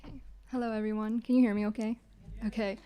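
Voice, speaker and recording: A soft-spoken woman, a graduating resident, giving a short, heartfelt thank-you speech. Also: on the high side, fairly even intonation, fast, clean tone. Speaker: graduating internal medicine resident.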